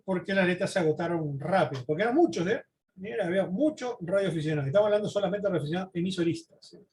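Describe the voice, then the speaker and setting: A man giving an informal slide lecture, halting, repeating words and often adding short questioning tags. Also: sits high, slight background noise. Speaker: presenter giving a history talk.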